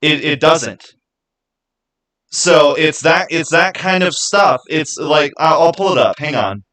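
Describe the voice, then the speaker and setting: A man's voice: on the high side, pushed and bright. Man chatting casually on a livestream. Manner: halting, rhetorical questions to viewers. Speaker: livestream host.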